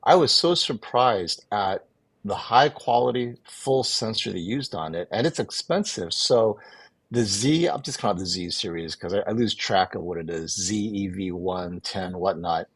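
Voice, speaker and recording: A man with a low voice and a husky edge, talking as a panel guest. He rambles a little and often restarts his sentences as he gives his opinion. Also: lively intonation, moderate pace, a bit bright. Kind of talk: casual opinion talk.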